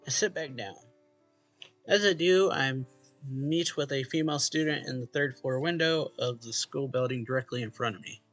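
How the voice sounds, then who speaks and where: Man, gravelly, casual game narration and dialogue reading, faint music behind. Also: mid-range voice, moderate pace, fairly bright tone, dry recording. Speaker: let's play host reading a visual novel aloud. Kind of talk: casual read-aloud of game dialogue and first-person narration.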